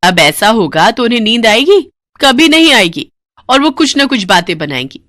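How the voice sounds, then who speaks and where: A woman voicing a sharp-tongued, defensive wife in a cartoon story, brazen and sarcastic with dramatic retorts. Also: a little high, big pitch swings, normal speed, no room sound, studio quality, voice pushed up. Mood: mocking, defiant. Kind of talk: Hindi cartoon story dialogue.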